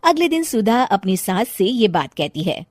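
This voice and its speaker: A woman's voice: mid-range voice, sing-song, normal speed, a little mellow, no room sound, clean studio sound. A woman narrating a scripted story as its storyteller.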